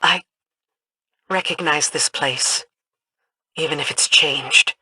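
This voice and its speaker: A forceful battle-hardened soldier. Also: female voice, sits low, slow, breathy edge, no echo, clean studio sound, exaggerated voice. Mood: focused. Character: dutiful battle-hardened female military scout, brisk and grave. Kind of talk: scripted video game dialogue.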